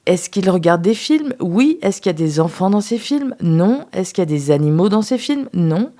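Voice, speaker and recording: A woman who is a sex therapist, a guest on a radio talk show, speaking in a measured, thoughtful way with some hesitation. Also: lowish voice, big pitch swings, dark tone. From France.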